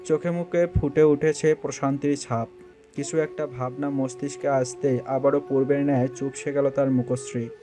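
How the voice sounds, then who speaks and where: A man's voice: mid-range voice, little pitch movement, normal speed, music in the background. A man reading scripted narration for a romantic audio story.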